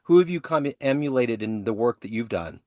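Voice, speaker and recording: A man's voice: mid-range voice, animated, brisk, darkish tone, no echo, studio quality. A male host asking a guest direct, eager questions in an interview.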